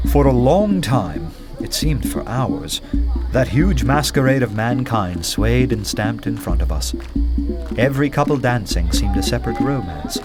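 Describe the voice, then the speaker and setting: A man playing an earnest, poetic detective who narrates his strange adventure in a radio play with measured, literary wonder. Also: fairly low pitch. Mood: solemn. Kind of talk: dramatic first-person radio play narration. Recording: loud music under the voice. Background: music.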